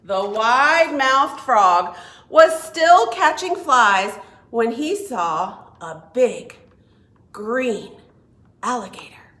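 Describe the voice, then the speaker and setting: Librarian lady doing kids storytime, slow n animated, bright voice, roomy echo. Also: fairly high pitch. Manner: animated, encouraging, simple phrasing for small children. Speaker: children's librarian and storytime host. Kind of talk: read-aloud storytime for young children.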